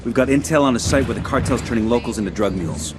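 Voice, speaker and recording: An actor playing a decisive special forces squad leader in a video game, giving clipped orders. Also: male voice, mid-range voice, animated, fast, a little mellow, soft background music, natural voice. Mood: focused. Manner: clipped, commanding radio-style orders.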